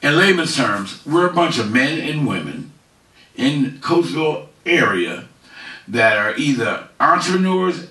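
Chatty man hosting from a roomy living room. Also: sharp tone. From Philadelphia area, USA. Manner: chatty, folksy, pitching local events. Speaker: community show host. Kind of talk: casual commentary to camera. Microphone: headset mic, far camera. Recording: roomy, slightly distant sound.

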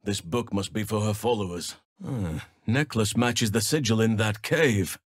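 Deep, solemn elf druid healer. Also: male voice, no echo, studio quality. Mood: calm, earnest. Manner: measured, earnest, formal and slightly archaic phrasing. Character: gentle, solemn male elf druid healer, nature-loving and protective. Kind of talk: video game character voice lines.

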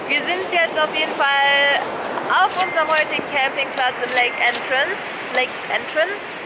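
High-voiced young woman vlogging over loud noise. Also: a little slow. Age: 20s. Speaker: travel vlogger. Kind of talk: casual vlog chat. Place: inside a camper van, on a windy beach. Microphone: handheld camera at arm's length. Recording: noisy camera audio with vehicle and ambience.

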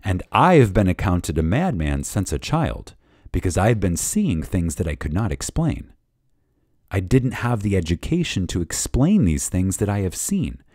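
Male lecture reader, low mellow voice, lively intonation, reverent, deliberate pauses, emphatic. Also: no room sound, studio quality. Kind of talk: dramatic reading of a spiritual lecture.

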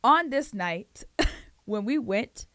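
A young woman hosting a reaction channel, chatting excitedly and talking back to the screen, her voice a bit hoarse from screaming. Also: on the high side, takes it slowly, no room sound. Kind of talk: casual reaction chat. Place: small home studio, indoors. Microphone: studio mic on boom arm, close.

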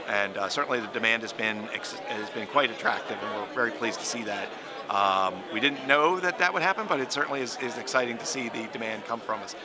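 A middle-aged man, a company executive, giving a businesslike pitch into a handheld mic at an expo. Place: busy indoor expo hall. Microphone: handheld mic held by interviewer. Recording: loud crowd noise behind.

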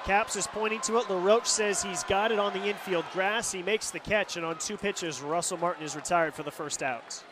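A man's voice: sits high, moderate pace, hardly any pauses. An American man calling live baseball play-by-play on radio in a clipped, descriptive way, reciting stats. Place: stadium broadcast booth. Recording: loud stadium crowd noise. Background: ballpark crowd and stadium ambience.

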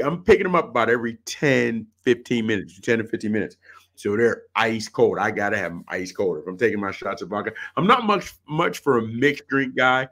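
A man's voice: medium pitch, a bit bright. A middle-aged man in casual, informal podcast chat, often repeating phrases for emphasis on a video call. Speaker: sports podcast panelist. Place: indoor room on a video call.

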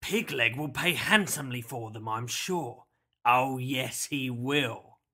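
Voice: medium pitch, slow pace, bright, forceful tone, voice pushed down, heavily acted. A narrator doing a sneering, growling storybook villain. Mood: gloating. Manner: sneering, growling, repeats himself in gloating tags. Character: menacing grey skinny man, sneering child-snatcher with a dog.

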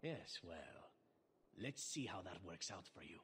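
An actor playing a flirtatious, unflappable elf assassin in a video game, teasing and theatrical. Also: male voice, deep voice, sing-song, slow, background noise, full character voice. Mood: amused, mocking. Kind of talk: video game character acting.

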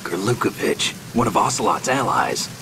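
An actor playing a gruff, hardened male covert operative, terse and wary, in a dramatic video game. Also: fairly low pitch, sing-song, normal speed, background noise. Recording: music and rain behind.

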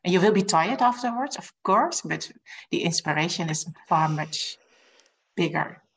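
Lower-pitched woman, non-native English. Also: lively intonation, normal speed, creaky. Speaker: podcast guest. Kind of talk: panel discussion. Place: indoors, on a video call.

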